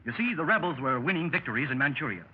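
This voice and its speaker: An actor playing a seasoned American secret service adventurer, recounting exploits with matter-of-fact bravado in a 1930s radio drama, on an old recording. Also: male voice, a little high, very animated, fast, slightly rough. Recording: muffled, noisy vintage 1930s radio recording.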